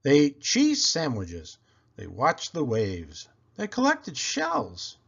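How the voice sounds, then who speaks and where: A man reading a picture book aloud to children, expressive and deliberate in his phrasing. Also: medium pitch, vocal fry, breathy edge, muffled recording.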